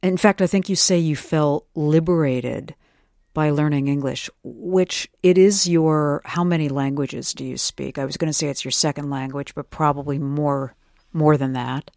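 A mid-pitched interviewer speaks in a conversational radio style, thoughtful and attentive. The sound is close and dry, free of room echo. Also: fairly even intonation, moderate pace, darkish tone.

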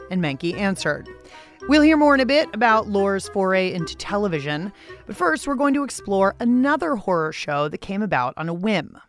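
Playful American woman hosting radio. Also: mid-range voice. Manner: playful, theatrical emphasis. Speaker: radio show host. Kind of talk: scripted radio narration with playful asides.

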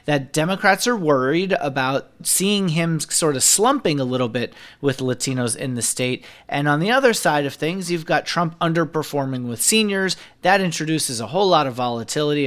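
A man's voice: on the high side, a little fast, hardly any pauses, a bit bright. A man working as a senior political editor, explaining polls and campaign spending fluently and rattling off figures on a podcast.

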